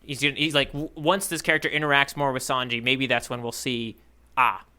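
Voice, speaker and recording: A man's voice: mid-range voice, flat delivery, fairly quick, sharp tone, clean tone. A man co-hosting a podcast in casual conversation, reflective and rephrasing his thoughts as he goes. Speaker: podcast co-host.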